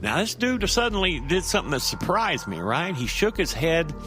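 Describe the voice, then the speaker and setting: An actor playing a nervy, rambling street-wise man who tells a horror story in a halting, stammering, conversational way, with music behind him. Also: on the high side, sing-song. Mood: rueful, earnest. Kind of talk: audio drama first-person horror monologue.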